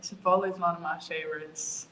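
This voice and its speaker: A young woman from Ukraine, answering interview questions in a relaxed, easygoing way with plenty of fillers. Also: on the low side, fairly quick. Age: young adult. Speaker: college basketball player. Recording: echoey room.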